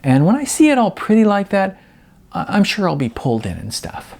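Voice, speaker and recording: A man acts out a timid young woman in an audiobook, pitched high and lively. She stammers and hesitates, apologetic and eager to smooth things over, with a husky edge to the voice. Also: brisk, full character voice. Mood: apologetic, placating. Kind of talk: audiobook character voice.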